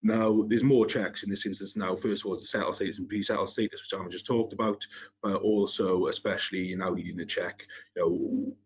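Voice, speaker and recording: Low, husky man with Welsh accent. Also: steady tone, brisk. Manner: hesitant, many fillers and restarts. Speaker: webinar presenter. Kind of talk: business webinar presentation. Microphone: video call. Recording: muffled lo-fi online call audio.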